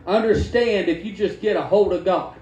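A man's voice: sits high, moderate pace, crowd noise. A man preaching a fervent Pentecostal sermon, exhorting emphatically and repeating phrases while the congregation calls back. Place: church hall during a service. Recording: echoey hall with congregation responding. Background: congregation calling out responses.

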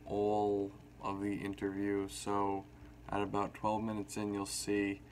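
A man's voice: fairly low pitch, completely flat delivery, a little slow. A man giving listeners a shrugging, matter-of-fact notice about a recording glitch. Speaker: podcast host. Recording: gravelly voice over noticeable hiss.

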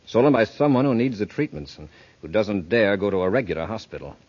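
Decisive patrol commander, deep-leaning voice, authoritative, 1950s space radio serial, dull recording. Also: male voice, expressive, quick pace, darkish tone. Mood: explaining. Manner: authoritative, crisp orders and explanations. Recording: muffled old radio recording.

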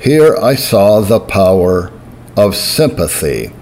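Male reader, low-pitched, solemn and measured, faint hiss under the voice. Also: big pitch swings, a little mellow. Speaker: narrator reading historical religious writing. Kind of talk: measured scripted reading.

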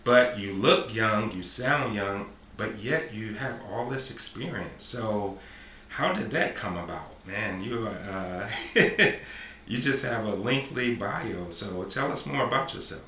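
A man's voice: lowish voice, animated, takes it slowly, bright, forceful tone. A man in his forties hosting a podcast interview, talking casually and repeating affirmations. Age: 40s. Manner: repetitive, affirming, talkative. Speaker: podcast host. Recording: roomy sound with faint noise.

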